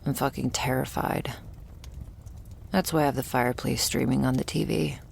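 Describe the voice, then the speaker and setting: A woman playing a jaded, wry, terminally ill shopkeeper who serves a vampire, speaking in an intimate, deadpan ASMR roleplay monologue. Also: slight background noise, full character voice. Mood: quietly anxious. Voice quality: low, husky, gravelly. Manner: deadpan, sardonic, slow and pausing, swearing casually.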